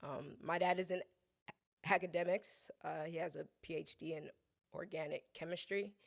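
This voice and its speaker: A woman's voice: low-pitched, fairly even intonation, moderate pace, vocal fry. A young woman chef, born in Ghana and raised in the US South, chatting playfully as a podcast guest and laughing often. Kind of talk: casual conversation.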